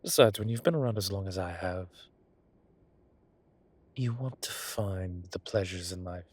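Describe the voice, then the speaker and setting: Guy doing an ancient god type for roleplay audio, deepish breathy voice, slow gossipy drawl, teasing old romantic. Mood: musing, tender. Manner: theatrical, drawling, gossipy and indulgent. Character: ancient male godlike being, teasing old romantic softy.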